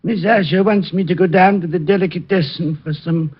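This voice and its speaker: A man's voice: high voice, fairly even intonation, fairly quick, slightly rough. An actor playing a fussy, eager male butler in a 1950s radio drama, polite and fluttery, always saying sir. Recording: dull 1950s radio broadcast recording.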